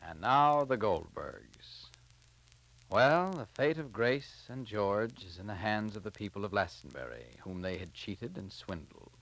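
A man's voice: lowish voice, lively intonation, a little slow, a bit bright, no echo, poor audio. Swooping 1940s radio announcer, male. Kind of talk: 1940s radio ad and scripted narration. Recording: vintage 1940s radio transcription disc.

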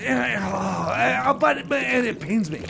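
A man playing a flustered, stammering radio DJ in a comedy radio sketch, with a gravelly voice. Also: very high-pitched, animated, takes it slowly, background noise, heavily acted. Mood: flustered, pained. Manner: stammering, repeats words, flustered. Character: flustered, long-suffering radio DJ, easily pained. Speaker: radio show host. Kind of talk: improvised comedy radio theater. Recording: music playing behind the voice.

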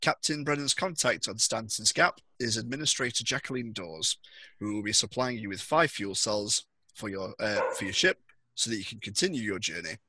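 Bright-voiced young man as game master. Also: lowish voice, lively intonation, moderate pace, husky, no room sound. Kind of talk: narrating a tabletop roleplaying scenario. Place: indoor room on a video call.

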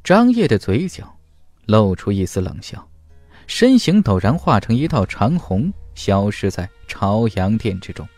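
A man narrating a fantasy audiobook in a dramatic scripted style, with emphatic, deliberate delivery. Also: on the low side, very animated, a little mellow, no room sound, soft background music.

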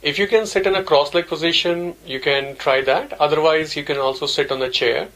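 A man giving guided meditation instructions as a teacher, in measured, simple, repetitive phrases. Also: fairly high pitch, fairly even intonation, fairly bright tone, rough recording.

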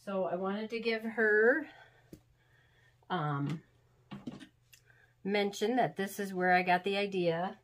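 A woman's voice: mid-range voice, slow pace, long pauses, slight background noise. A woman hosting a craft tutorial, talking through steps in a homey, rambling way, a little sniffly from being sick. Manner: chatty, homey, rambling instructions. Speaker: craft channel host. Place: crafting table indoors.

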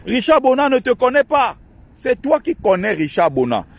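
Husky man ranting outdoors by a lake. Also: sing-song. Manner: indignant, ranting and mocking, quick shifts in pitch. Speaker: online activist and channel host. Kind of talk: outdoor vlog monologue.

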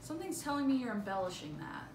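A woman's voice: high-pitched, sing-song, quick pace. A young woman doing an amateur dramatic reading of a script as a daughter, in a room at home. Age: young adult. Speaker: student scriptwriter and amateur reader. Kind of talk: dramatic script read-through, playing a daughter. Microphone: camera a few feet away. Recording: distant echoey room mic, clear noise.

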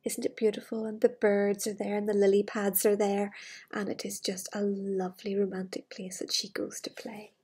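A middle-aged woman with a breathy voice, reading a fairytale to children with gushing, chatty asides in a living room. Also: medium pitch, little pitch movement, moderate pace, a little mellow. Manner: gushing, wistful enthusiasm for the book. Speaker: children's storyteller. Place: living room with large glass doors. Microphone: device camera at arm's length.

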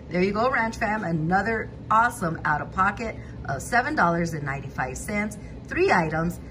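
A woman's voice: mid-range voice, background noise. A woman hosting a couponing channel, walking through store deals in a methodical voice-over, price by price.

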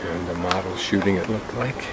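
A man's voice: deep voice, normal speed, heavy background noise. An older man narrating a walking tour as he films, musing and thinking aloud about what he sees. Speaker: travel vlogger. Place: outdoors in a city garden and a small indoor museum.

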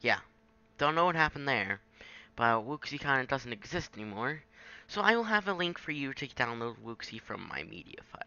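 A man giving an informal, halting software tutorial, thinking aloud as he goes. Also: mid-range voice, sing-song, muffled recording. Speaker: tutorial presenter. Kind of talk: casual screen-capture software tutorial.

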